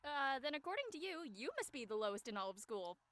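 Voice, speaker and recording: A woman playing a breezy, cheeky, carefree high-school student in an amateur script reading. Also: sing-song, fast talker, a bit bright. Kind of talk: amateur audio drama table read.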